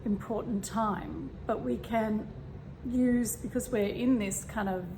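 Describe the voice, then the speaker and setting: A woman with a slightly croaky voice talking casually and meanderingly about spirituality, with many fillers and throat clearing. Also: mid-range voice, slow pace. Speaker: spiritual vlogger. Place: home room with a fan running. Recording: steady fan hum behind. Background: fan noise.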